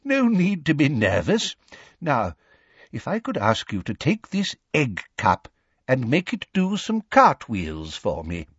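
A man's voice: mid-range voice, wildly swooping pitch, slow pace, slightly rough, no echo, dull audio, pitched above the performer's own voice, exaggerated voice. A man voicing a kindly, encouraging old male examiner with a quavery voice in an audiobook. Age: older.

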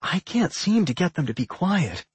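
A narrator voicing an excitable, outspoken human girl in a fantasy audiobook, expressive and dramatic. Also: mid-range voice, normal speed, breathy edge, no echo, voice pushed up. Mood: uneasy.

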